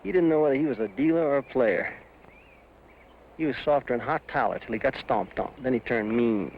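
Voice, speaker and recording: An actor playing a rough, needling cowboy drifter in a western, with a folksy, teasing way of talking. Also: male voice, mid-range voice, fairly quick, slight background noise, close to an everyday voice. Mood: storytelling. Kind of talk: old western film dialogue. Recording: dull lo-fi film audio.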